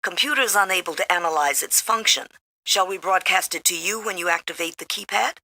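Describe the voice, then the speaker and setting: A woman's voice: on the low side, fairly quick, bright, forceful tone, no echo, low-quality recording. A woman playing an efficient starship communications officer in a video game, speaking in a businesslike way.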